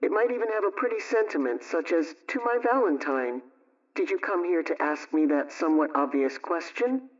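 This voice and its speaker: A woman playing a brisk, motherly homemaking adviser, telling a scripted radio story in an emphatic, instructive way. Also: lowish voice, lively intonation, far from the mic, lo-fi sound, close to an everyday voice. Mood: emphatic, coaxing.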